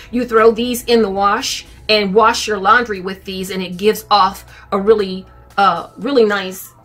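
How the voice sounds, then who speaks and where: A woman's voice: mid-range voice, a bit bright. A middle-aged woman chatting casually to camera as a vlogger, recorded indoors in a room at home. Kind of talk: casual shopping haul chat to camera.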